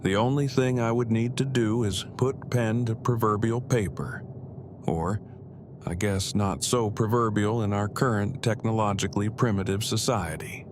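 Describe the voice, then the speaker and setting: A man's voice: fairly low pitch, fairly even intonation, normal speed, background noise, close to an everyday voice. A man playing an earnest, bookish husband in an audio drama, speaking in formal, wordy and precise phrases. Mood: hesitant, confiding. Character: verbose, earnest, bookish husband on a road trip.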